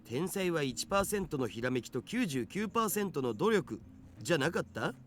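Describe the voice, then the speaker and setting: A man's voice: fairly high pitch, sing-song, rapid-fire, soft background music. A man voicing a young, skeptical geek sidekick in a Japanese visual novel, slangy and questioning. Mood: doubting.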